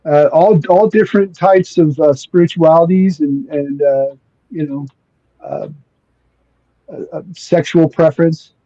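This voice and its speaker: A man's voice: fairly high pitch, steady tone, a little slow, mellow, no room sound. A man whose first language is Spanish, chatting casually in a laid-back, slangy way, often repeating words as he feels for the thought. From near Mexico, spent most of life in Mexico. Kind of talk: casual spiritual conversation. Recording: dull lo-fi sound.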